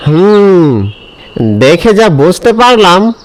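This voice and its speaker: Measured, reassuring tantric healer. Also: female voice, mid-range voice, expressive, poor audio.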